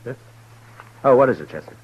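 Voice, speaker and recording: A man playing a stern, weary but fair Old West marshal in a radio western, speaking tersely and deliberately. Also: medium pitch, lively intonation, breathy edge. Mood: terse, patient. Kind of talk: old-time radio western drama acting and narration. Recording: dull vintage radio recording with music.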